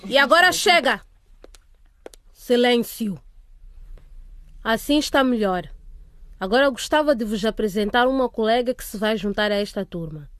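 A woman's voice: on the high side, expressive, moderate pace, long pauses, fairly bright tone, no echo. A woman acting a firm but kind school headmistress in a radio drama, commanding and formal. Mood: stern.

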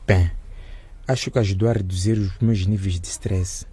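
A man's voice: sits low, steady tone, moderate pace, mellow, husky, full character voice. A man playing a hesitant, stressed teenage boy in a radio drama, polite and a little awkward. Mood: hesitant, uneasy.

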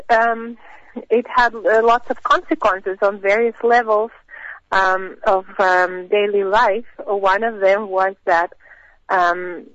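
A woman in her thirties with a Russian accent, telling her personal story as an interview guest, with hesitant, thoughtful pauses. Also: mid-range voice, dry recording. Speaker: missionary guest. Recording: dull, lo-fi radio sound.

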